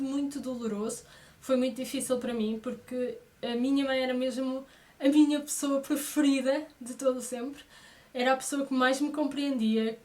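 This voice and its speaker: A woman of thirty with a European Portuguese accent, chatting casually and expressively to her viewers as a vlogger. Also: fairly high pitch. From Brazil, moved to Portugal aged six. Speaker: vlogger introducing her channel.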